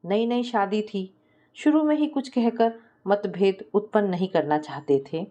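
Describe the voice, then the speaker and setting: A woman storyteller reads a scripted domestic tale. Her voice is mellow and gentle on the ear, at an average female pitch. She voices the dialogue within her narration. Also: measured pace, dull audio.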